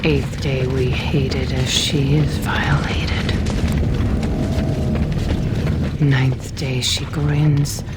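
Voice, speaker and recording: Fantasy game captive: haunted dwarf woman, deep for a female, eerie chanting and anguished whispers, unhurried, clear background noise. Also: little pitch movement. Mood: eerie, trance-like. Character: haunted, half-mad dwarf woman, captured survivor, grieving. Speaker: video game character. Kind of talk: dramatic fantasy game acting.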